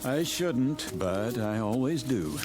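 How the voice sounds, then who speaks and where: A man's voice: medium pitch, sing-song, takes it slowly, over music. An actor playing a wry, conversational motorist on the road with his dog in a radio drama. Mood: friendly, dry.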